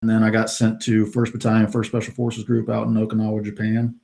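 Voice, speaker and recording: Laid-back low-voiced middle-aged man. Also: quick pace, creaky. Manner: relaxed storytelling with many fillers. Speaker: podcast guest, retired special forces soldier. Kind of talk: casual interview talk.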